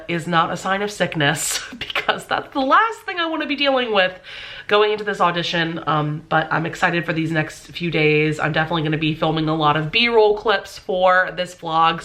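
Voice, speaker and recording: A woman's voice: on the low side, moderate pace, bright, forceful tone. A young woman with a stuffy, congested nose, chatting casually to the camera as a vlogger and filling her talk with "um" and "like". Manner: chatty, many fillers like "um" and "like".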